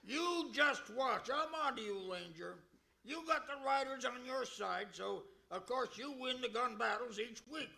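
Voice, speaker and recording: An actor playing a belligerent, blustering western outlaw with a folksy American drawl in a comic radio western. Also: male voice, extremely high pitch, expressive, a little slow, creaky, bright, forceful tone, full character voice, poor audio. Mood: defiant, aggrieved. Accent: American, Old West drawl. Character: belligerent western outlaw gunman, bad guy's kid brother. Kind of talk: comic old-time radio western acting.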